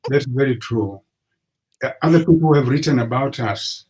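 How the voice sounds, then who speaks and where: An older man from Tanzania, a professor guest on a video call, speaking in a measured, agreeable way. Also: mid-range voice, a crowd in the background, low-quality recording. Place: study with bookshelves.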